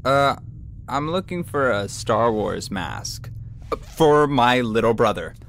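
A man acting in an audio drama as an awkward, evasive teenage boy, halting and sheepish. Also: mid-range voice, lively intonation, vocal fry, fairly bright tone, slight background noise, full character voice.

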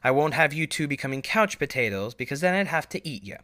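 A man puts on a folksy mother pony for a storybook reading. The voice is raised and bright, firm and teasing, stern yet loving. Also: a little high, very animated, fast talker, no room sound, studio quality, voice pushed up. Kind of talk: fan fiction storybook reading.

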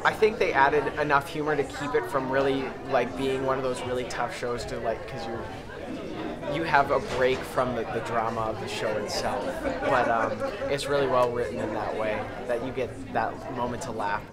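A man's voice: mid-range voice, fairly even intonation, moderate pace. A young man, an audience member, giving casual, enthusiastic remarks in an interview. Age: young adult. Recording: loud crowd chatter behind.

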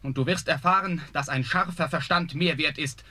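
An actor playing a young prince who calmly and earnestly reassures his father. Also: a little high, normal speed. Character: young prince, calm and clever son.

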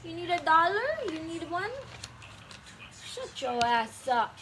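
A young woman rants at her phone in a small cluttered bedroom, swearing and confronting, pacing around as she speaks. Her voice is high and her delivery slow. The phone sits far from her and picks up clear background noise. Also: sing-song. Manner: profane, confrontational, restless, moves around while talking. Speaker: vlogger. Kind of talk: angry profane rant to camera. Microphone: phone camera, often far away.

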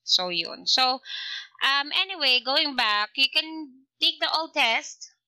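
A Filipino woman in her thirties talks casually with lots of fillers. Her voice is very bright and a bit high. She records at home on a laptop with a headset. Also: expressive, slow pace, low-quality recording. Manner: chatty, with frequent fillers. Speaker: vlogger. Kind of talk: casual tutorial with Taglish code-switching. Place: small indoor room.

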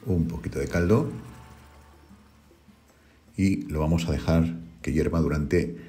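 Deep-voiced man. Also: dark tone, soft background music. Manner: unhurried, homely, talks viewers through each step. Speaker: cooking channel host. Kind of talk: home cooking demonstration. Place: home kitchen.

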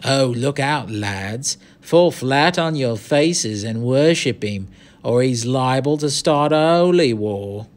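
A man's voice: sits high, sing-song, takes it slowly, gravelly, few pauses, voice pushed up, heavily acted. Storybook bully: slangy, menacing teenage lad leading a gang of skinheads, performed by an adult male narrator. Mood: mocking. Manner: slangy, sneering, muttering and barking.

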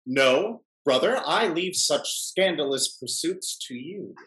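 A middle-aged male actor playing a smooth-talking, hypocritical gentleman schemer in a theatrical period stage comedy. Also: fairly high pitch, expressive, takes it slowly, rough recording. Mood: evasive. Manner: theatrical, emphatic period speech. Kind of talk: Restoration-style stage comedy over video call. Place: indoor room, video call.

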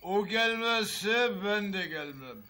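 A drunk, belligerent older brother, high-pitched. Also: male voice, animated, slow pace, sharp tone, full character voice. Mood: stubborn. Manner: rambling, repetitive, swearing. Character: drunk, belligerent, sentimental older brother who dotes on his nephews. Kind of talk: Turkish TV drama acting. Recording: music behind the voice.

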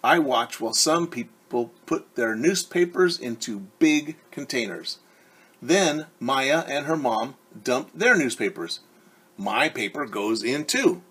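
A man in his forties with a husky voice reads a picture book to young children. He is expressive and explains things in simple words, putting questions to his listeners. He is recorded at home on a camera about an arm's length away. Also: medium pitch. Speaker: teacher reading a story to young children. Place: living room at home, indoors. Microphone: device camera at about arm's length.

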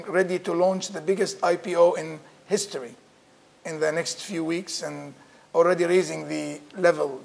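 A middle-aged man opens the event from a podium microphone in a lecture room. His voice sits a little high and carries a gravelly edge, and his delivery is formal with small restarts. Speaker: host introducing a speaker. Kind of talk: formal introduction.

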